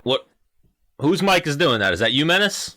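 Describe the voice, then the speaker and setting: Host type, man, bright voice, rambles and fills, easy banter. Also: a little high, big pitch swings, normal speed. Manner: chatty, rambling questions, filler-heavy. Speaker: podcast host. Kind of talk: casual chat.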